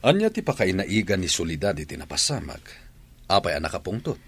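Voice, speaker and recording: A man's voice: sits low, sing-song, brisk, a bit bright, breathy edge, heavily acted. A man playing a stern father and master of the house in a Filipino radio drama, probing and authoritative, with an Ilocano accent.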